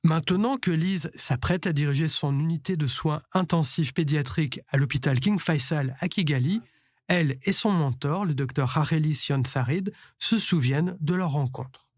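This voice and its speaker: A male reporter reading a scripted news voice-over in a measured, even way. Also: fairly high pitch.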